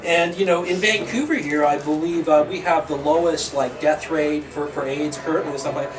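A man's voice: fairly high pitch, fairly even intonation, normal speed. Easygoing shop owner man, echoey room. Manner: loose, with fillers like "like" and "you know". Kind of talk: casual interview answers. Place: small indoor shop. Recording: echoey room, distant mic.

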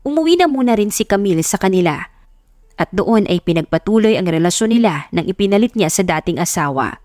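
A woman's voice: mid-range voice, a little mellow, dry recording, studio quality. A woman narrator reading a scripted love story in a dramatic audiobook style. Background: background music.

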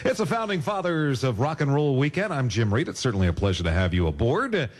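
A man's voice: medium pitch, sing-song, fast. A man working as a radio DJ, giving jokey, folksy patter in an upbeat oldies style. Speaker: radio DJ and host. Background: music.

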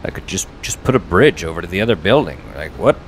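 Low-voiced man doing casual gaming commentary. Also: big pitch swings, fast talker, slight background noise, poor audio. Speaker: let's play host. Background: video game sound.

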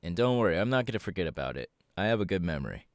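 A man voicing a laid-back, cocky young winged superhero in a casual ASMR audio roleplay, talking slangily with hesitant pauses. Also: low voice, sing-song, no echo, clean studio sound. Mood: musing, easygoing.

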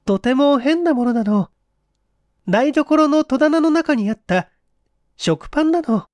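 A woman plays a young lady from a vintage mystery drama. Her voice is high and feminine, with refined, composed manners and a pleading politeness. The recording is close and dry. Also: expressive, measured pace, darkish tone, no room sound.

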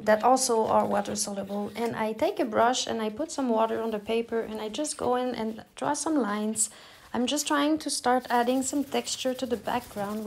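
A middle-aged woman from Quebec whose first language is French, speaking English with a Quebec French accent as she calmly talks through her art process with many pauses and fillers. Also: fairly high pitch, gravelly. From Quebec, Canada. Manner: relaxed, hesitant, many fillers and restarts. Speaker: artist demonstrating her process. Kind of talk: casual voice-over tutorial.

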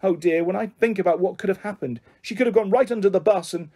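A man plays a protective mother with fraying nerves in an audiobook. The pitch is raised and the voice slightly husky. She sounds fretful and indignant. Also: animated, fast, mellow, full character voice. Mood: tearful worry. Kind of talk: audiobook character voice.

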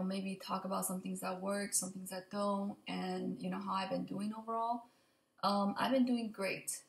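A young woman talks casually to her computer at home, feeling her way through an unplanned update. Her voice is a little raspy from allergies and often drops into creak. She speaks slowly and hesitantly, and the room adds a clear echo. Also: medium pitch. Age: young adult. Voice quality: slightly raspy. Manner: hesitant, halting, rambling. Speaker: vlogger. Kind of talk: unscripted casual update to camera. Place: indoor open kitchen and living room. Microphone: computer mic at desk distance. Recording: echoey room, computer mic.

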